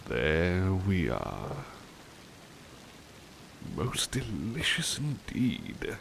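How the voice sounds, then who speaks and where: A man playing a devoted, polite butler in an ASMR roleplay, speaking softly and hesitantly with courteous formality, often slipping toward a whisper. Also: low voice, sing-song, very slow, gravelly, slight background noise, exaggerated voice. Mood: courteous, warm. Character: devoted, affectionate, polite male butler comforting his companion. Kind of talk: ASMR roleplay, intimate personal attention.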